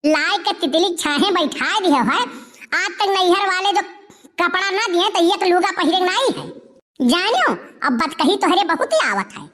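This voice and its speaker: A woman voicing a sharp-tongued, nagging village wife in an Awadhi comedy cartoon, with an Awadhi accent. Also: very high voice, animated, a little slow, heavily acted. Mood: angry scolding. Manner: nagging, complaining.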